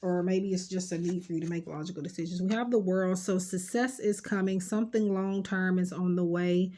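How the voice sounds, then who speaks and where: A woman's voice: lowish voice, fairly even intonation, fairly quick, dark tone. A woman with a stuffed-up nose giving a casual, rambling tarot card reading, talking directly and reassuringly to her viewers with lots of fillers. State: stuffed up, sniffling.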